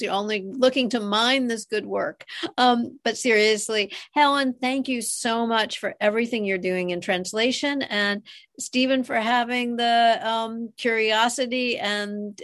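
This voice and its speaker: Woman, podcast host, conversational interview delivery, mid-range pitch. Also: fairly bright tone.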